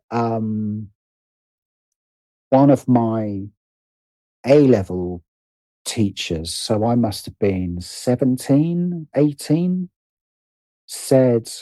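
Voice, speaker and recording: Mellow British man, thinking aloud. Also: medium pitch, no room sound, rough recording. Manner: hesitant, self-interrupting, thinking aloud. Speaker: interview guest, writer. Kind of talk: conversational personal storytelling.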